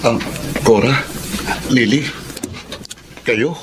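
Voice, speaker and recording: A man's voice: mid-range voice, drawn-out words, loud music behind the voice. An actor with a Filipino accent playing an earnest, conflicted young man in a radio drama. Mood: polite, concerned.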